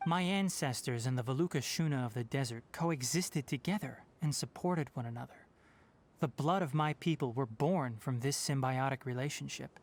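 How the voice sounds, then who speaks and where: A man voicing a calm, principled young male forest ranger and scholar in a video game, speaking in a measured, thoughtful way. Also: medium pitch, a little hiss or hum.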